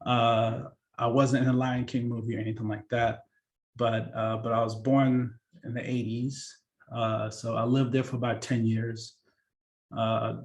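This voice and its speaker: Man, 30s, workshop presenter; casual, chatty, filler-heavy delivery. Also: mid-range voice. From born in Kenya, grew up in Seattle. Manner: relaxed and chatty, many fillers and restarts. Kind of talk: casual career talk to students.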